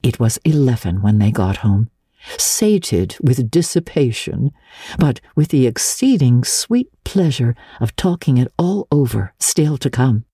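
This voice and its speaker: A woman narrating classic literature as an audiobook, with dramatic, measured storytelling. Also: extremely low pitch, very animated, dark tone, slightly rough, no echo, clean studio sound.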